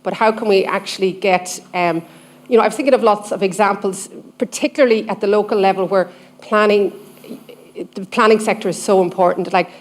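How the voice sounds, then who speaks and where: A woman from the audience of a conference asking a long question, chatty and thinking aloud. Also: medium pitch.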